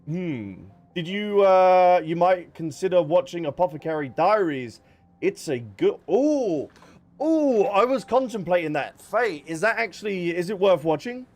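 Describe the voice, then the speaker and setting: A man's voice: sits high, expressive, measured pace, darkish tone. A man streaming a game, chatting casually and thinking aloud as he answers viewers. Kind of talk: casual livestream chat while gaming. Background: background music.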